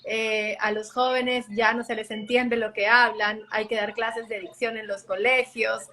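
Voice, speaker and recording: A woman's voice: on the high side, little pitch movement, measured pace, bright, forceful tone, slight background noise. A woman hosting a live interview, enthusiastically affirming her guest and reading out viewer comments. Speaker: interviewer host.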